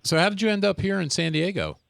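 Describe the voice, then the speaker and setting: A man's voice: high-pitched, fast, creaky, fairly bright tone, no echo. A man co-hosting a radio show, asking questions in a casual, slightly hesitant way. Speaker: radio show co-host, interviewer. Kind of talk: casual interview.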